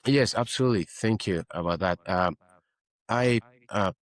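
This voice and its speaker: A man's voice: on the low side, normal speed, no echo. A middle-aged man from Peru, a guest physician in an interview, explaining earnestly and often saying "you know". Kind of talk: interview conversation.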